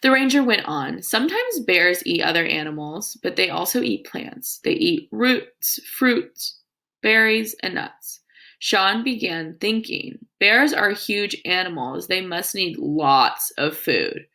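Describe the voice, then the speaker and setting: A woman teacher with a creaky voice reading aloud to young children in an instructive way, with her own comments and questions. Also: fairly low pitch, lively intonation, takes it slowly, sharp tone.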